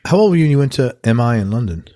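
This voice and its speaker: A man's voice: medium pitch, sing-song, very fast, warm tone, no echo. A man interviewing a guest, asking short questions and giving brief reactions. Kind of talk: casual interview.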